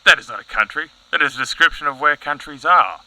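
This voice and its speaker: A man's voice: a little high, lively intonation, fast, sharp tone, rough recording. Man playing a tense, exasperated professor. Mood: exasperated, pedantic. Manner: emphatic, precise, exasperated. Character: exasperated male professor, rational and pedantic expert. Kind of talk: satirical news audio comedy acting.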